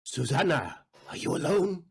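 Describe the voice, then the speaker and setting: A man playing a lecherous aristocratic count in a theatrical period comedy, smooth-talking, pompous and wheedling. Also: on the high side, very animated, moderate pace, full character voice. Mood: amorous.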